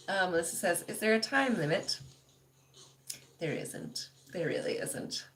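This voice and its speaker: A woman in her thirties hosting a casual livestream, reading chat comments aloud, laughing often and trailing off into soft asides. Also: lowish voice, slight background noise.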